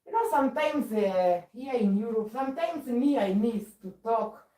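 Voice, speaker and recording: A woman's voice: on the high side, animated, moderate pace, warm tone, distant mic. A middle-aged woman whose first language is Swahili, chatting casually on a live stream while she does chores at home. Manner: chatty, moves around doing chores while talking. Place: home, indoors. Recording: roomy sound, speaker often away from the mic.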